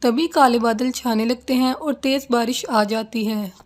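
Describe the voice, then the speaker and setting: High-pitched woman narrating a children's story. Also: measured pace.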